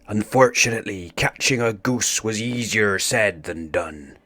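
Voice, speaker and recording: A man narrating a children's story in a theatrical, expressive read-aloud style. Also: lowish voice, unhurried.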